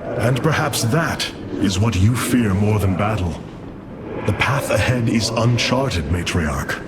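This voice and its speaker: Casting: noble alien war leader, low-pitched, resolute and commanding, game cutscene, loud score behind. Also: male voice, lively intonation, slow pace. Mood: solemn resolve. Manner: solemn, stately, commanding. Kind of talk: dramatic video game cutscene acting. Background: loud cinematic music.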